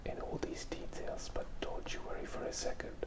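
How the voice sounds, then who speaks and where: An ASMR host speaking in a whisper, with gentle, rambling, reassuring casual commentary while drawing. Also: normal speed. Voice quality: whispering, unvoiced. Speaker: host narrating a drawing session.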